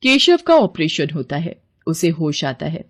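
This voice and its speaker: Husky woman telling a story. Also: sits low, unhurried. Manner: plain, steady storytelling. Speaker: narrator. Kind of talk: scripted storybook narration.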